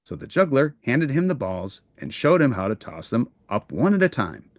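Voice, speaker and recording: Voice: medium pitch, big pitch swings, normal speed, no room sound. A storybook narrator reading a children's book aloud in a scripted narration style.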